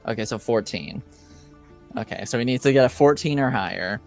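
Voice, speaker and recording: A man acting as game master, narrating and explaining rules of a tabletop game in a halting way. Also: mid-range voice, animated, darkish tone, faint music behind. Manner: halting, hesitant delivery.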